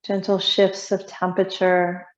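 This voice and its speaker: A woman yoga teacher leading a guided meditation in a soothing, unhurried, gently instructing way with long pauses. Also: mid-range voice, completely flat delivery. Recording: roomy echo, slightly muffled sound.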